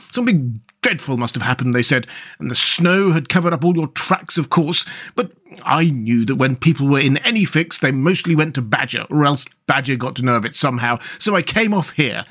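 A man's voice: on the high side, fairly bright tone. A man voicing a cheerful, hearty and talkative otter who speaks in a breezy storybook manner.

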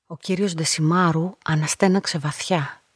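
A woman's voice: sits low, fairly even intonation, slightly rough, no echo. An actress playing a lonely, observant young woman who narrates her own story reflectively in a radio play. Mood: observing. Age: young adult. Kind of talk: first-person literary radio drama narration.

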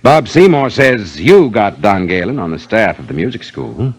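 An actor playing a calm, probing police inspector in a 1950s radio mystery, questioning in a measured, dryly skeptical way. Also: male voice, lowish voice, slightly rough, heavily acted. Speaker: actor in a radio detective drama. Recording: old 1950s radio recording, faint music.

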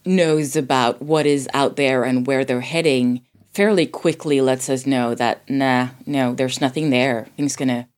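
A woman's voice: rumbling low, fairly even intonation, unhurried, hardly any pauses, a little mellow. A woman casually discussing a film, thinking aloud and often correcting herself as she goes.

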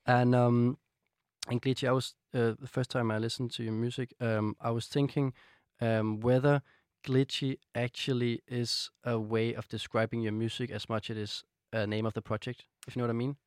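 A man's voice: mid-range voice, flat delivery, normal speed, darkish tone, smooth, dry recording. A man with a Danish accent hosting a casual studio interview in loose, hesitant phrasing. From Denmark. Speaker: radio host. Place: radio studio.

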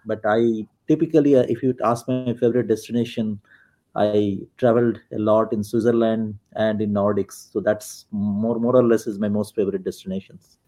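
A man who is a guest consultant, answering in a halting way with many restarts. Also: fairly low pitch, fairly even intonation, warm tone. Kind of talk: casual interview answer.

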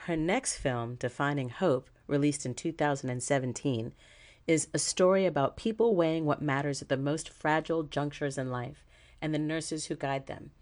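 A woman co-hosting a podcast, reading a scripted introduction and then chatting with a guest, upbeat and quick to laugh. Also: sits low. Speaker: podcast co-host, not a nurse.

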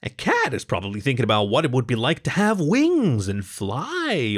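A man's voice: medium pitch, vocal fry. A man voicing a nervous, lovestruck dog comedian from a story, with a self-deprecating, stammering, joking delivery.

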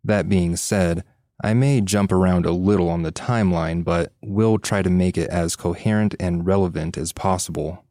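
Very deep, dark-voiced man narrating. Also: normal speed, no echo, studio quality. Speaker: podcast host reading listener stories. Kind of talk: scripted first-person scary story narration.

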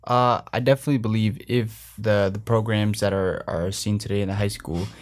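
A man's voice: on the low side, steady tone, brisk. A young man from Colombia whose first language is Spanish, answering interview questions earnestly with many restarts. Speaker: guest, former student drummer.

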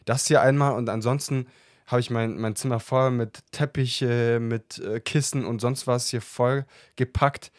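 A man's voice: medium pitch, fairly even intonation, measured pace, no room sound, studio quality. A talkative man hosting a casual podcast chat, often breaking into laughter while answering questions. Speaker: podcast host.

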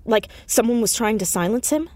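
A woman playing an anxious, earnest high school girl in an audio drama, hesitant but insistent as she talks. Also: expressive, fairly quick, darkish tone, natural voice. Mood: thoughtful. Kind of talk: naturalistic audio drama acting.

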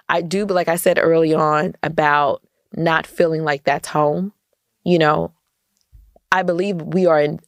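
A woman's voice: low voice, vocal fry, dry recording, clean studio sound. An American woman talking emphatically in a casual interview, often repeating phrases for stress as a podcast guest. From United States. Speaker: podcast guest, entrepreneur and community activist.

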